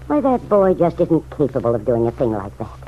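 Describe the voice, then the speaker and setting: An actress playing a kindly, steadfast middle-aged farm woman in a vintage radio serial, speaking in a measured, earnest way. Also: very deep voice, big pitch swings. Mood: firmly loyal. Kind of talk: 1940s radio serial acting. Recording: muffled, hissy vintage radio recording.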